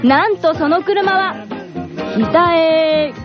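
A woman hosting a radio show with playful banter, expressive and giggly. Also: extremely high pitch, extremely slow. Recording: dull lo-fi radio recording with loud music.

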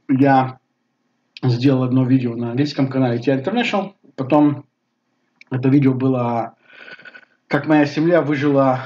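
A man in his sixties with a Bulgarian accent, talking casually to camera at home, halting with many fillers. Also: mid-range voice, a little mellow, slight background noise. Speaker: vlogger. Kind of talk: casual personal talk to camera. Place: living room at home. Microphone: webcam at desk. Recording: slightly dull webcam audio.

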